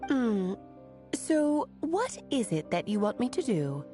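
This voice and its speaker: A woman's voice: on the high side, big pitch swings, slow, mellow, faint music behind. An actress playing a kindly, whimsical witch in a game drama, speaking in an airy, musing and tender way. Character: kindly, whimsical female witch devoted to an old friend. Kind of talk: dramatic video game voice acting.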